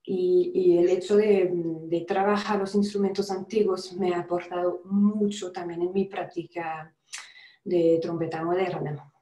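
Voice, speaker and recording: Woman, French accent, unhurried, searching for words, video call, roomy room sound. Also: lowish voice, flat delivery, warm tone. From France. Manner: careful, searching for words, laughs lightly. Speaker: guest lecturer, trumpeter. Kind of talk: online lecture. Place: room with brass instruments.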